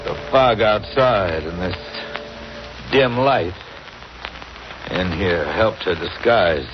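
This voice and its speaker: An actor playing a calm, stern man coolly exposing a killer, measured, in 1940s radio style. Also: sits low. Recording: muffled, noisy old radio recording.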